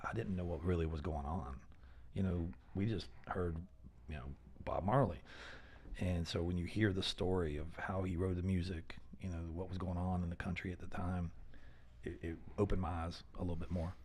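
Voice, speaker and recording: A man hosting a casual live-stream interview, enthusiastic and loose, cursing now and then as he thinks out loud. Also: low-pitched, fairly even intonation, normal speed, breathy edge. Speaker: host.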